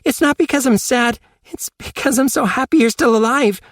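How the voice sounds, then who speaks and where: A man's voice: very high-pitched, normal speed, fairly bright tone, no echo, studio quality, pitched above the performer's own voice, exaggerated voice. Casey, a man voicing a tearful teenage girl. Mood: tearful, emotional.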